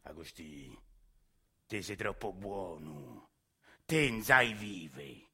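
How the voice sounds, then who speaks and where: Mocking Roman schemer male actor, slow condescending drawl, sharp edge, stage monologue. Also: low voice, animated, slightly rough, pitched below the performer's own voice, exaggerated voice. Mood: smooth, persuasive. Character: sly Roman crony, menacing, mocking false friend.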